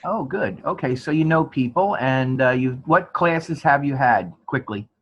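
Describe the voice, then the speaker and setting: A man's voice: mid-range voice, lively intonation, measured pace, a bit bright. New Jersey man teaching, slightly muffled. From New Jersey, USA. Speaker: college instructor. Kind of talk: informal online class teaching. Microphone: online class call. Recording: dull, band-limited online class audio.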